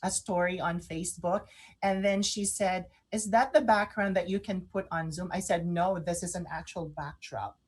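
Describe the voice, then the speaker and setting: A woman's voice: fairly low pitch, steady tone, normal speed, slightly rough. A woman co-hosting a fashion talk show in casual chat, enthusiastic and repeating phrases for emphasis.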